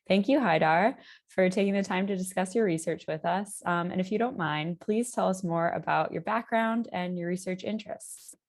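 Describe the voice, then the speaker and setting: A woman's voice: lowish voice, normal speed. A young woman interviewing a guest, reading polite, formal questions. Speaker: interviewer, research assistant.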